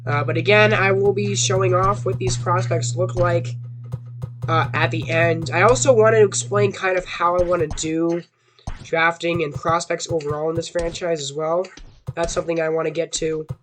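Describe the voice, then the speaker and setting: A young man with a hoarse voice from a sore throat, rambling through casual gaming commentary with a self-doubting tone. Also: fairly high pitch, steady tone, moderate pace, fairly bright tone. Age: young adult. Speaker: gaming YouTuber.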